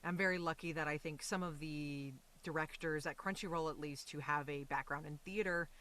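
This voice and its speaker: A woman's voice: low voice, steady tone, fairly quick, fairly bright tone. Casual, chatty woman in an interview. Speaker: voice actor, interview guest.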